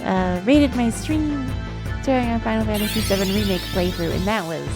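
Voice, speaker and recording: A woman livestreaming a video game, chatting casually with viewers and reacting in an animated, giggly way. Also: medium pitch, moderate pace. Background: video game music.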